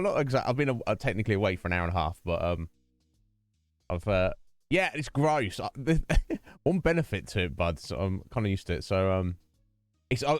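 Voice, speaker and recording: A man with a lowish voice chats loosely to his stream audience. He rambles and restarts sentences, close to a dry desk mic. Soft music sits faintly underneath. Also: no echo. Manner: rambling and chatty, trailing off and restarting. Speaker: livestream host. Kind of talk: casual livestream chat to viewers. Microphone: desk microphone with noise gate.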